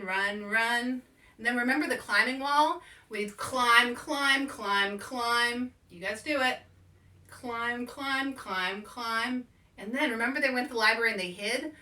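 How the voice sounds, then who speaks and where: A woman hosting a children's story time as an author, giving simple, encouraging call-and-response instructions with animated, repeated action words. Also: fairly high pitch. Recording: roomy echo, distant microphone.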